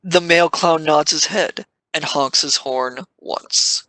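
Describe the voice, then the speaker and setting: A man's voice: on the high side, takes it slowly, bright, forceful tone, dry recording, rough recording. A man reading scripted scene narration for an audio drama.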